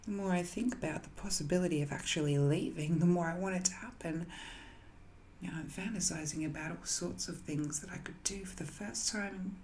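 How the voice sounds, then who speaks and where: A woman playing an ancient banished evil spirit in an intimate audio drama, seductive, lonely and sly, with a coy, breathy delivery that often slips toward a whisper. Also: lowish voice, a little fast, a little mellow, a little hiss or hum, lightly acted. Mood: teasing, seductive.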